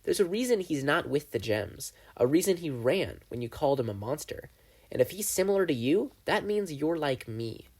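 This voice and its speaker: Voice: mid-range voice, big pitch swings, normal speed, darkish tone, breathy edge. A reader putting on a gloating triangle demon. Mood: taunting. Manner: taunting, theatrical, mocking. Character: gleeful menacing male triangle dream demon. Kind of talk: fan fiction audiobook reading.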